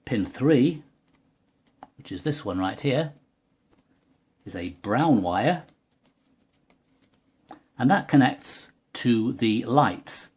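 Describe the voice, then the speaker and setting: A man's voice: medium pitch, lively intonation, very slow, long pauses, darkish tone, breathy edge. A man giving a methodical, precise step-by-step technical demonstration as a tutorial presenter. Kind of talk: step-by-step hands-on product demonstration.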